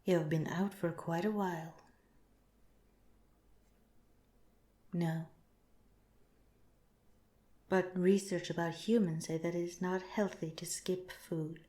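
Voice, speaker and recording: A woman voicing a calm, clinical alien researcher in an ASMR roleplay, speaking softly with measured, formal politeness. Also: fairly low pitch, lightly acted. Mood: reassuring, formal. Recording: roomy, echoing sound.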